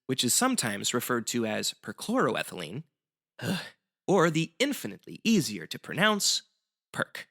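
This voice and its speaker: A man's voice: mid-range voice, wildly swooping pitch, measured pace, creaky, fairly bright tone. A man as a guest explaining history in semi-scripted educational banter, articulate and wry.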